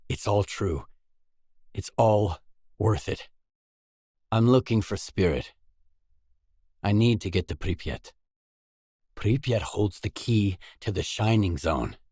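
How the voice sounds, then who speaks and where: An actor playing an earnest, determined stalker in a post-apocalyptic video game, speaking in a measured, solemn way. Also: male voice, fairly low pitch, warm tone, husky, dry recording, clean studio sound, exaggerated voice. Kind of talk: scripted video game dialogue.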